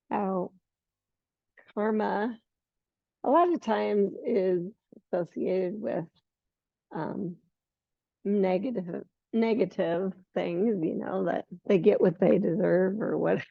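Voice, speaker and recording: A hoarse middle-aged woman guiding a yoga class in a relaxed, unhurried way, with some fillers along the way. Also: medium pitch, dry recording. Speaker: yoga teacher. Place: yoga studio. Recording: muffled lo-fi recording.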